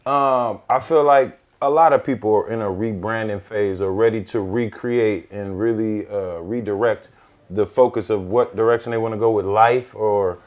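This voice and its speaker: Man, American accent, fairly low voice, podcast host, casual interviewing, informal slang. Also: normal speed, a little mellow, dull audio.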